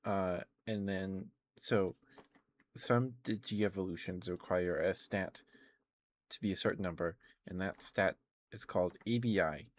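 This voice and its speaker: A man's voice: deep voice, unhurried, dry recording. A man streaming casual ASMR chat about video games, rambling and hesitant as he trails off and corrects himself.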